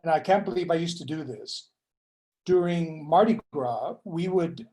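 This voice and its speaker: A man from California chatting casually at a meeting, telling a story with laughs and halting fillers. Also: a little high, a little mellow, low-quality recording. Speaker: meeting participant. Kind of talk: casual chat.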